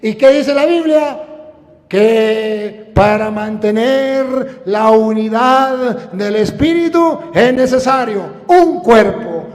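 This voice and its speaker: High-pitched Venezuelan priest preaching in a hall. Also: male voice. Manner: emphatic, declamatory, drawn-out key phrases with rhetorical questions. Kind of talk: sermon. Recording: echoing hall with long reverb.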